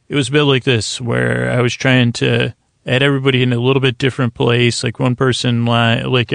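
Creaky, monotone man lulling listeners to sleep. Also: mid-range voice, no echo, clean studio sound. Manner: lulling, soothing delivery; meandering, halting, trailing off mid-thought. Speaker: podcast host. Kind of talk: rambling bedtime sleep podcast monologue.

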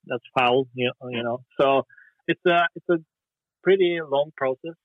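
A Norwegian musician talking casually in an interview, hesitant, with lots of fillers and restarts. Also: male voice, medium pitch, normal speed, no room sound. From Norway. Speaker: musician guest. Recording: dry tinny phone line.

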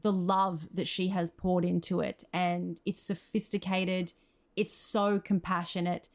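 A woman's voice: on the low side, level tone, unhurried. An Australian woman speaking as an interview guest, earnest and effusive in a conversational way. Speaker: podcast guest, screenwriter.

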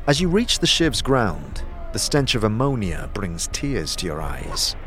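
Animated man narrating dark fantasy drama. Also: fairly low pitch, unhurried. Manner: intense, theatrical. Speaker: narrator and performer. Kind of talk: dramatic scripted second-person fantasy narration. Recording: clear music bed behind voice.

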